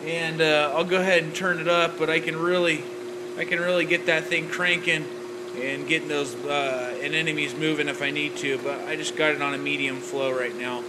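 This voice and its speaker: A man's voice: a little high, fairly even intonation, normal speed. A man filming a casual walkthrough of his home reef aquarium setup, rambling with lots of filler words as he talks to the camera. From Oregon. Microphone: handheld camera, off-screen. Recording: camera mic with noise.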